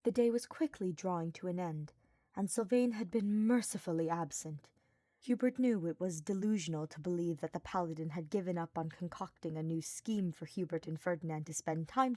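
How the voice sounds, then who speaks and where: Low-pitched woman narrating fiction, very dry sound. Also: warm tone, dry recording. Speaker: podfic reader. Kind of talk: scripted narration of a fantasy story.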